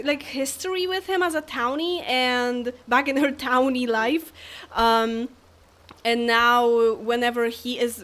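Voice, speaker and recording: A young woman with a slightly high voice, chatting through a live game stream. She laughs often and talks to the characters on screen as she plays. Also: fairly bright tone. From Poland. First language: Polish. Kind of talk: casual live game commentary.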